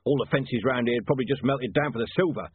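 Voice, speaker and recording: A man's voice: mid-range voice, quick pace, breathy edge, dry recording, close to an everyday voice. A man voicing a blunt, grumbling, sweary criminal thug who fancies himself an antiques connoisseur in an audiobook. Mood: disgusted, grumbling. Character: gruff cursing male thug with connoisseur's taste. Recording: muffled narrow-band, phone-like radio sound.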